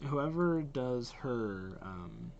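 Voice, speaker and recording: A man's voice: mid-range voice, big pitch swings, very slow, vocal fry, darkish tone, muffled recording, slight background noise. A young man giving casual running commentary as a reaction host, musing aloud in an informal, hesitant way. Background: game trailer music.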